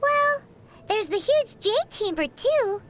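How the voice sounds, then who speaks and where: A woman voicing a tiny, childlike fairy companion in a video game, with a squeaky voice and a perky, eager manner. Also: sing-song, takes it slowly, fairly bright tone, soft background music, exaggerated voice. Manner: perky, refers to self in third person.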